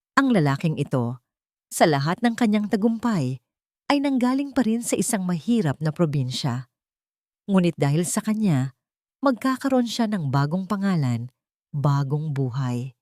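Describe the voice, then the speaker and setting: A woman narrating a scripted romantic drama in deliberate, dramatic short phrases. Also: on the low side, a little mellow, no room sound, clean studio sound. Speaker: story narrator.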